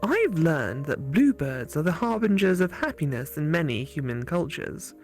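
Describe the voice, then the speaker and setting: A woman's voice: sits low, very animated, normal speed, a little mellow, soft background music. Woman voicing a curious, doting AI companion. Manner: quizzical, wondering.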